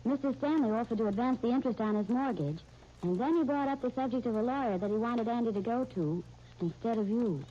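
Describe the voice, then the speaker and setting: Female actor as loyal, dejected young American secretary, radio serial; highish mellow voice, muffled vintage sound. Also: fast talker, slight background noise, lightly acted. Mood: downcast, flat. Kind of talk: 1930s radio soap opera acting. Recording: muffled 1930s radio broadcast.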